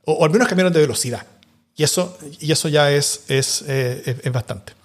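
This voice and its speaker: A man who hosts a casual panel chat. He talks quickly in a husky voice. He often halts and repeats words. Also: fairly high pitch. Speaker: host.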